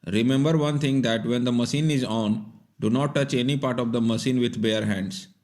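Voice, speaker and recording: A man's voice: mid-range voice, fairly even intonation, normal speed, darkish tone. A man giving scripted, step-by-step instructional narration in a plain, methodical way. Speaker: repair tutorial narrator.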